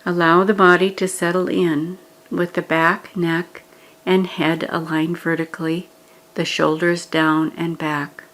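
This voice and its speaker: A woman leading a guided meditation, giving scripted instructions in a gentle, measured manner with pauses. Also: lowish voice, flat delivery, rough recording.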